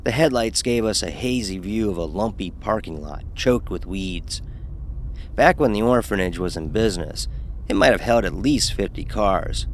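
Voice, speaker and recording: A man's voice: fairly low pitch, expressive, normal speed, a little hiss or hum. A man playing a cocky, sarcastic young fraternity pledge who narrates his own horror story. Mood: storytelling. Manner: sarcastic, dismissive. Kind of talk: first-person horror story narration with acted dialogue.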